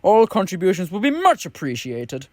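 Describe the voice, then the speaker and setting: A woman's voice: on the low side, huge pitch swings, moderate pace, crowd noise. A woman announcer reading a scripted promotional message.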